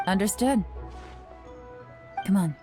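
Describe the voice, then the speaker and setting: A woman's voice: mid-range voice, lively intonation, takes it slowly, mellow, soft background music. An actress playing a cool, assured female intelligence chief in a video game, composed and lightly teasing.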